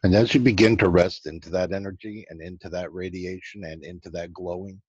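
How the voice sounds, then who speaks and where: A man leading a guided meditation as host, giving slow, soothing instructions with long pauses and a husky voice. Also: deep voice, fairly even intonation, darkish tone. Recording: dull, lo-fi, roomy sound.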